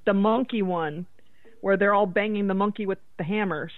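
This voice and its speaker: A woman's voice: medium pitch, moderate pace, no room sound. Woman on a tinny, phone-like line. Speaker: podcast co-host. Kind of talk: casual chat.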